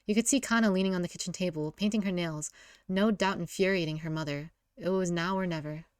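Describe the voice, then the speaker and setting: Low-pitched woman reading a story, very dry sound. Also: quick pace, smooth, dry recording. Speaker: story reader. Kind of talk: casual narration of a fan story.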